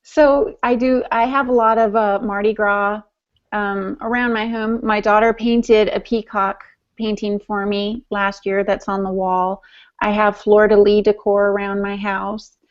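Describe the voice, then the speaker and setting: A woman's voice: medium pitch, little pitch movement, measured pace, smooth, lo-fi sound. A woman podcast co-host chatting casually in a talkative, teasing manner. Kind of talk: casual chat.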